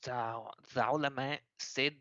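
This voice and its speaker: A man on a call defends himself in a heated debate, hesitant and halting, often restarting his sentences. His voice is bright and tense. The call audio is dull and lo-fi, with a dry sound. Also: medium pitch, fairly even intonation, no echo. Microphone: online call.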